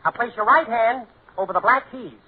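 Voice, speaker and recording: High-pitched double-talking piano professor. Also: male voice, brisk, slightly rough. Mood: confident, instructive. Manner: rattling off confident garbled jargon. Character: fussy male piano professor spouting nonsense double-talk. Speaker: comic actor. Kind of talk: 1940s radio comedy acting. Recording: noisy, narrow-band 1940s radio recording.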